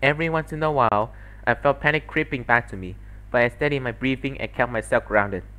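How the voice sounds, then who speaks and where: A man's voice: mid-range voice, a little fast, sharp tone, low-quality recording. A man reading scripted story narration as the solo narrator of a fan dub. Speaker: solo fandub performer and narrator.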